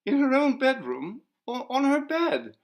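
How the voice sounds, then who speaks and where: A man's voice: very high voice, huge pitch swings, unhurried, voice pushed up, exaggerated voice. A man voicing a terrified, tearful young maidservant in a stammering wail, in a storybook reading.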